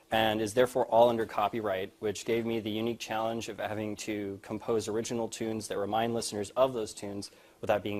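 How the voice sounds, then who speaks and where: A young adult man giving a live spoken presentation as a student showing his senior project. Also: on the low side.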